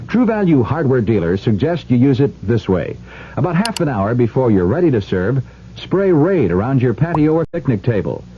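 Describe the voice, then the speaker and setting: A man delivering a folksy sales pitch as spokesman in a vintage radio commercial. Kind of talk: 1970s radio ad read. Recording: muffled old radio with faint noise.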